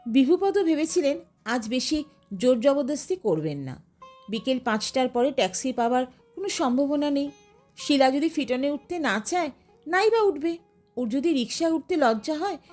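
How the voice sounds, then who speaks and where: High-pitched, expressive woman narrator. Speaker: audiobook narrator. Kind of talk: expressive literary story narration.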